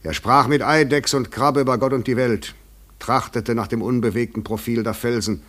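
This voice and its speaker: An actor in a German radio play, playing an insistent, eloquent, poetic stranger who has swum out of the sea, speaking in a formal theatrical way. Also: male voice, on the low side, a bit bright, no echo. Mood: solemn, storytelling.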